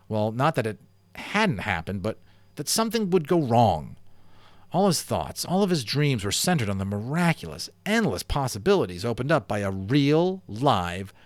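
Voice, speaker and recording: A man reading a children's novel aloud at home, with a very animated rise and fall in his voice. His storytelling is expressive, and at the grim parts he sinks low and soft. The sound is close and dry. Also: dry recording. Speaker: amateur voice actor and audiobook reader.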